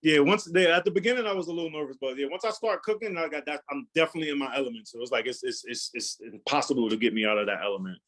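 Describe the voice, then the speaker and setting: Loud-voiced chef with a rambling manner. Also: male voice, fairly high pitch, racing, few pauses. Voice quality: loud, carrying voice. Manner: rambling, repeats words, many fillers. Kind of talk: casual live cooking demo Q&A.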